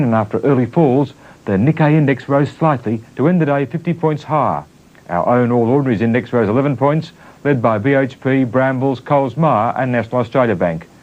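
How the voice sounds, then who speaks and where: Guy doing a dry business news voiceover, muffled old tv sound. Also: medium pitch. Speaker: business reporter. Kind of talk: news report voice-over.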